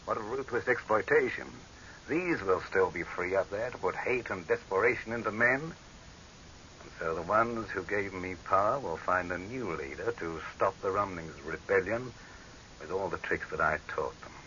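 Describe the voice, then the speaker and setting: An actor playing a proud, scornful dictator facing death in a 1930s radio horror drama, with a commanding, oratorical delivery on a hissy old recording. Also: male voice, low-pitched, normal speed, sharp tone, muffled recording. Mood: forceful, contemptuous.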